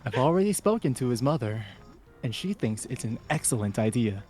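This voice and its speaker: A man's voice: medium pitch, sing-song, a little fast, mellow, breathy edge, soft background music. A man voicing a firm, prying middle-aged mother in a comedic amateur fan fiction reading.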